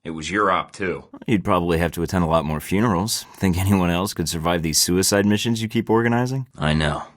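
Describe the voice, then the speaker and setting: A man voicing a deep, wisecracking American spy. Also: fairly quick, no echo, close to an everyday voice. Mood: wry. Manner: wisecracking, dry sarcastic quips. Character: sarcastic young male American spy agent. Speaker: spy agent protagonist. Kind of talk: video game voice acting.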